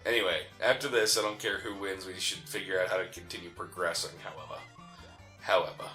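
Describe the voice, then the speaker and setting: A man's voice: sits low, fairly even intonation, a little fast, sharp tone, faint music behind. A man co-hosting a gaming show, chatting casually and arguing in a teasing way as he comments on the game.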